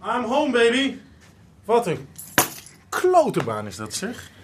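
An actor playing an excitable, sarcastic young man in a naturalistic Dutch radio drama, speaking emphatically. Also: very high voice, slow pace, background noise, full character voice. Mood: disgruntled. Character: excitable, sarcastic young man, griping about his job.

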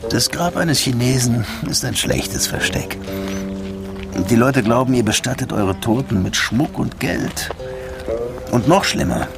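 An actor playing a world-weary Cuban police detective in a radio drama, dry and brooding in dialogue and narration. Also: male voice, mid-range voice, expressive, a little slow, creaky, music in the background. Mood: grave. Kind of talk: radio drama acting and first-person narration.

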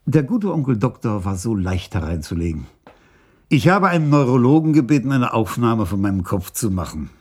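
An actor playing a senior police chief in a German radio crime drama, husky, wry and scornful as he reflects. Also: mid-range voice, sing-song, moderate pace, darkish tone. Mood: wry, reflective.

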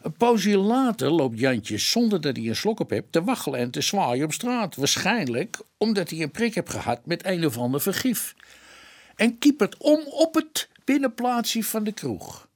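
An actor playing a blunt, eager police sergeant in a Dutch radio play, colloquial and stumbling over long words. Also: male voice, high voice, very animated, normal speed, full character voice. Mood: eager, reasoning. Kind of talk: Dutch radio drama acting.

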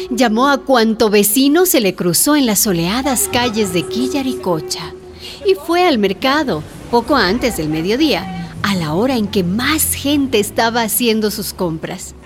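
A woman narrates a radio drama in a fairly high voice with lively, swinging intonation. Music plays clearly behind her.

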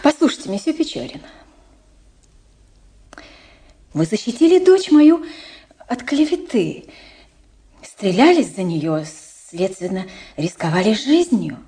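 An actress playing an anxious, dignified middle-aged princess and mother in a radio play, with halting, breathy delivery and emphatic pauses. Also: mid-range voice, sing-song, dark tone. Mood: solemn, agitated.

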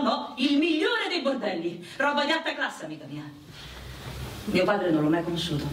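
An actress playing a proud, worldly wartime prostitute in a radio drama, brash and confiding in a theatrical way. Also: female voice, lowish voice, close to an everyday voice. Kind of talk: dramatic radio play dialogue. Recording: roomy, distant mic with loud background noise.

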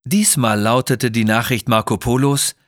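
A man's voice: mid-range voice, fairly even intonation, moderate pace, fairly bright tone, no echo, studio quality. A man narrating a children's adventure story in a scripted, dramatic style. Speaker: audiobook narrator.

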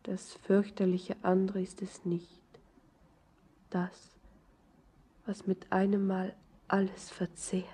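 Anna, slow, hushed grieving young bride. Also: female voice, medium pitch, monotone. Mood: broken, hushed. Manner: slow, frail, often breathy and whispering. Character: grief-stricken, emotionally numbed young bride, Anna. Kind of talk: poetic German radio drama.

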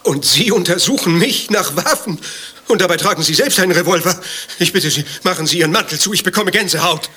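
An actor playing a vain, evasive lover in a radio play, speaking with a defensive, indignant manner. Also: male voice, high-pitched, lively intonation, sharp tone, husky, full character voice. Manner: defensive, indignant, quick to retort. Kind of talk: German radio play dialogue.

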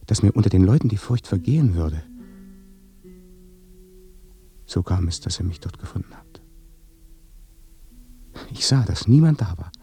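An actor playing a haunted, weary dead son in a German radio play, speaking in a hushed, brooding way. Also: male voice, low voice, creaky. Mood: uneasy, haunted. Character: dead son recounting his death by fear, haunted, weary. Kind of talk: German radio play acting, slow intimate monologue.

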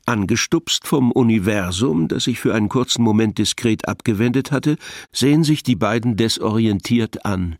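A man narrating a scripted audio drama in a measured, literary storytelling manner. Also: lowish voice, lively intonation, no room sound, clean studio sound.

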